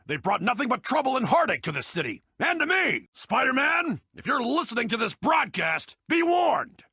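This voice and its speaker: An actor playing a blustering, cantankerous middle-aged newspaper boss in a video game, ranting pompously on air in a gravelly, raspy voice. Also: male voice, sits high, pushed and bright, no room sound, clean studio sound, full character voice. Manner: pompous, emphatic, bombastic delivery. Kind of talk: theatrical broadcast rant, video game voice acting.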